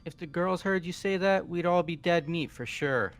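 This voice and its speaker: A man plays a casual, slangy young guy from a video game. His voice is a bit high and loose in manner, over faint music. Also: moderate pace. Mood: teasing. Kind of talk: fan-dubbed video game character voice.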